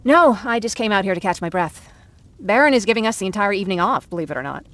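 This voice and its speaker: A woman's voice: medium pitch, expressive, fast talker, fairly bright tone, close to an everyday voice. An actress playing a young woman who survived a nuclear war, speaking in a reflective, wry, conversational way. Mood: friendly, wry. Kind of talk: naturalistic game cutscene acting.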